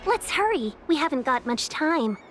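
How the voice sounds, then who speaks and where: A woman's voice: high-pitched, sing-song, normal speed. An actress playing a polite young fox woman, a chatty and formal guide and envoy in a video game. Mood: welcoming, brisk. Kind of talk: scripted video game dialogue. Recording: music behind the voice.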